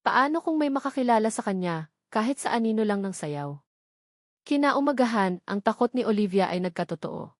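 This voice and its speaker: A woman narrates a suspenseful romance story in a dramatic, scripted style. Her voice sits at a middle pitch and is recorded close and dry, with no room sound. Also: animated, normal speed, no echo.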